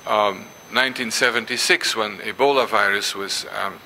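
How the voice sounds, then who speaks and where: An older man whose first language is Dutch gives a symposium lecture, pausing often with ums and restarts and reading passages aloud. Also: lowish voice, steady tone, sharp tone, slight background noise, low-quality recording. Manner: hesitant, many ums and restarts. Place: lecture hall.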